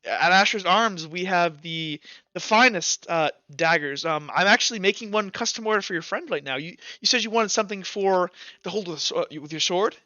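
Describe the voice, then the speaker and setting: Man playing an eager, flustered woman weaponsmith, bright voice. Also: high voice, brisk, no room sound, lo-fi sound. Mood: eager, proud. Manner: flustered, hesitant salesmanship. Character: eager, boastful woman weaponsmith selling her wares.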